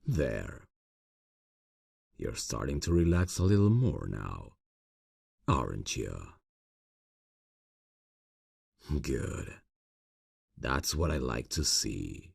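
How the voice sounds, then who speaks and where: A man voicing a suave, sinister vampire boss in an ASMR fantasy roleplay, speaking slowly and formally in a gravelly, husky voice with silky, courteous menace. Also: extremely low pitch, very animated, a little mellow, no echo, studio quality, full character voice. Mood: smooth, reassuring. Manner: slow, silky, formal, condescending endearments.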